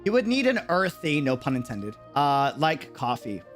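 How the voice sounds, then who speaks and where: A man's voice: on the high side, very animated, moderate pace, a bit bright. A man chatting casually and musingly on a game livestream in slangy speech, with game music behind him. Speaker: game streamer.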